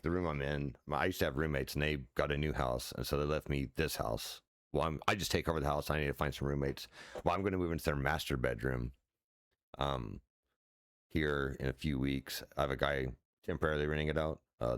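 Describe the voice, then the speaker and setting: A man in his forties, a streamer with a deep voice, chatting loosely at home with many fillers and restarts and casual swearing. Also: no echo, clean studio sound. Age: 40s. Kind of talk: casual rambling chat while sim racing. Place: his house.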